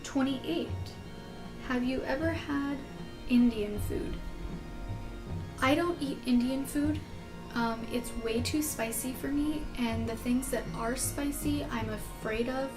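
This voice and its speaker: A young woman with an American accent and a fairly high voice talks casually to her viewers. She rambles through question-and-answer chat in an informal, self-deprecating way, with music clearly playing behind her. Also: slow, rough recording. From California, United States. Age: young adult. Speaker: video blogger answering tag questions. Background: background music.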